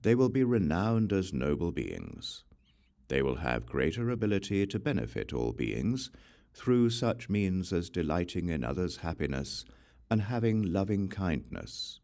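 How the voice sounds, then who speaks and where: Male audiobook reader, very deep voice, lively intonation, solemn measured delivery. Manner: slow, solemn and deliberate. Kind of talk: measured scripture reading.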